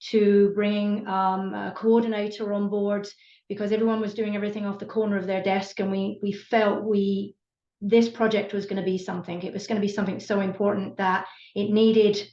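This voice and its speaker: A woman's voice: medium pitch, a little mellow. Passionate British woman on a lo-fi call. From Britain. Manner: earnest, passionate, many fillers. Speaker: community support team member. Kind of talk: online panel conversation. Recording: echoey room, dull lo-fi sound.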